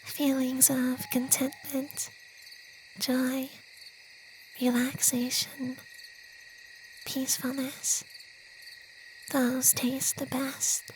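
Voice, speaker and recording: Slow, high, whispery gentle vampire played by a man. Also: completely flat delivery, background noise, heavily acted. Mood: gentle, confiding. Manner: soft, breathy, half-whispered, slow and soothing. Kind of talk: ASMR roleplay.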